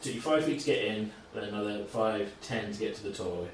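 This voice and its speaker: A man's voice: lowish voice, lively intonation, a little fast. A man casually narrating a tabletop role-playing game as game master, in an easygoing, informal way. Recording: echoey room, distant mic, background noise.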